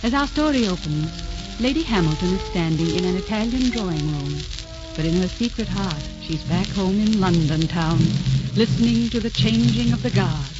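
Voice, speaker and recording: A woman's voice: medium pitch, animated, moderate pace, close to an everyday voice. A woman narrating a radio drama in a formal, scripted storytelling style of the 1940s. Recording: dull old radio recording with music.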